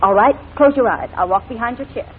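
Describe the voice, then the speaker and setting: Woman acting a caring 40s radio wife, soft-hearted and earnest, tinny hissy old recording. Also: fairly high pitch, fairly quick, natural voice. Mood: tender. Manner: earnest, affectionate, pleading. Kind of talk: 1940s radio drama acting.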